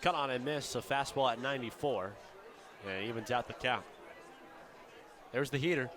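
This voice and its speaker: A man's voice: mid-range voice, normal speed. Male baseball play-by-play announcer, crowd behind. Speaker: play-by-play commentator. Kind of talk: baseball radio play-by-play commentary. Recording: ballpark crowd and outdoor ambience behind. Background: crowd at an outdoor ballpark.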